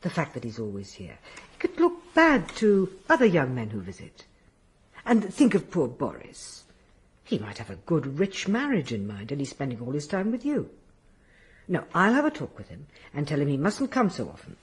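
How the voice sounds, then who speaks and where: A woman's voice: deep voice, wildly swooping pitch, moderate pace. An actress playing a practical aristocratic mother, gracious but firm and reproving, in a radio drama.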